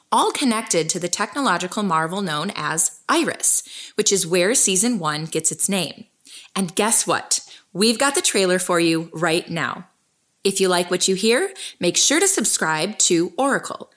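An actress playing an investigative podcast host, delivering scripted documentary narration. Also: female voice, mid-range voice, very animated, normal speed, a bit bright. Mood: friendly, promotional. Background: music.

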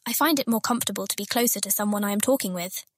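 A woman's voice: medium pitch, rapid-fire, noisy background, lightly acted. An actress playing a wily, determined female prisoner in a fantasy audiobook, with polite, careful, formal phrasing. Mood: probing, polite. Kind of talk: full-cast fantasy audiobook acting.

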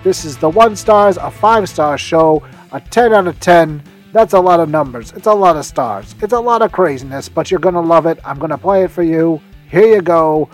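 A man hosting a podcast promo as an excitable, emphatic pitchman who repeats himself for effect. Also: moderate pace, hardly any pauses, soft background music. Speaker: podcast host. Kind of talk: hype-filled podcast promo.

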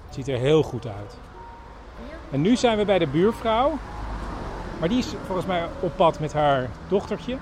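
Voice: medium pitch, big pitch swings, moderate pace, pauses often, noisy background. A podcast host, chatting casually on location.

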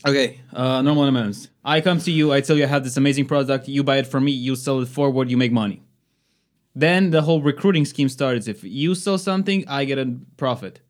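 A man's voice: medium pitch, fairly quick. Matter-of-fact man explaining things. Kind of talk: casual podcast chat.

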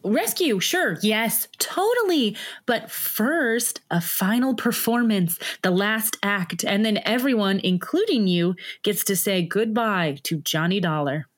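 A woman's voice: medium pitch, expressive, measured pace. A woman playing a breezy, sly female conspirator in an audio drama. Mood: slyly coaxing.

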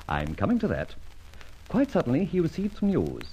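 Suave gentleman thief, wildly swooping delivery. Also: fairly high pitch, wildly swooping pitch, normal speed, slight background noise. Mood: wry, unhurried. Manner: theatrical, wordy, mock-pompous banter. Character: suave teasing gentleman thief, urbane male adventurer. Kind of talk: vintage radio drama acting. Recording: dull, muffled old radio recording.

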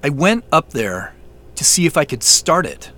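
A man's voice: fairly high pitch, sing-song, normal speed, sharp tone. An actor playing a defensive young man who has been caught sneaking around a farm and turns accusing in an audio drama.

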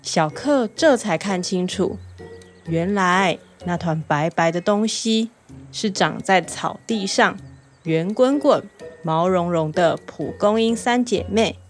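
Woman narrator, gentle bedtime tale, soft music underneath. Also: lowish voice, slow. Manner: gentle, storytelling with lively exclamations. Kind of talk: children's bedtime story narration.

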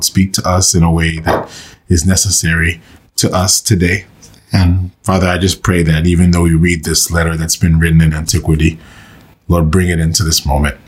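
A man leading a slow, meditative scripture reading and prayer for listeners, saying he is under the weather and losing his voice. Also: low-pitched, husky. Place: recorded for online listeners.

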